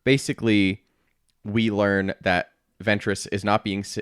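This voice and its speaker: A man co-hosting a podcast, chatting casually and explaining things in a deadpan manner. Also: lowish voice, a little slow, clean tone, no echo, clean studio sound. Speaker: podcast co-host. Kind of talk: casual chat, explaining a story.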